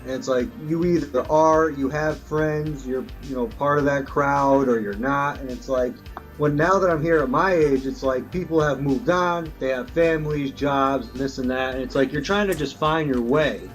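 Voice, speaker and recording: A man's voice: mid-range voice, moderate pace, faint music behind. A man in his late twenties in a casual group chat, talking in a laid-back, reflective way. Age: 20s.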